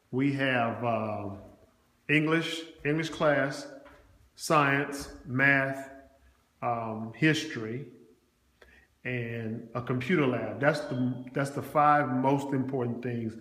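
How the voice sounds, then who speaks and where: Visitor filming a walkthrough, mid-pitch, roomy hallway echo, agreeable, attentive. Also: male voice, slow pace, fairly bright tone. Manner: attentive, agreeable, short acknowledgments. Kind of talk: casual walkthrough tour. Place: empty office building. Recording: echoey hallway.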